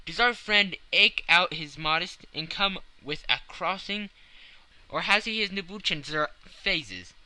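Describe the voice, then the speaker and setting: A man's voice: sits high, expressive, measured pace, sharp tone, clean tone, no room sound, pitched above the performer's own voice, heavily acted, poor audio. A man voicing a sardonic, curious Victorian newspaper editor in an audiobook, with a mocking, rhetorical manner. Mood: mocking curiosity.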